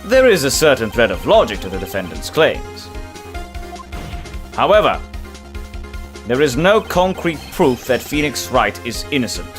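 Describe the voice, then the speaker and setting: A man's voice: medium pitch, big pitch swings, measured pace, pauses often. A man playing a haughty, composed prosecutor who speaks formally and smugly in a courtroom game fandub. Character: haughty, composed male prosecutor, coolly smug. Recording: music clearly behind the voice.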